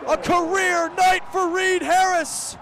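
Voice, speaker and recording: High-pitched young male football play-by-play announcer. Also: level tone, slow pace, a bit bright, a faint crowd. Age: young adult. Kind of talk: live college football radio play-by-play commentary. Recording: outdoor stadium broadcast with background noise.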